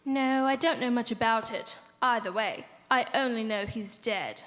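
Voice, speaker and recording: A woman's voice: on the high side, normal speed, a bit bright, a little hiss or hum, lightly acted. A woman playing an indifferent, gossiping business acquaintance, offhand and dryly amused, in live radio theater. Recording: reverberant hall.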